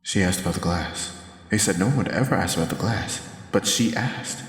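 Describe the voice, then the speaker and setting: A man voicing a quiet, menacing bartender muttering an inner monologue in a cartoon parody. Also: low-pitched, expressive, brisk. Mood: ominous. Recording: echoing reverb over faint music.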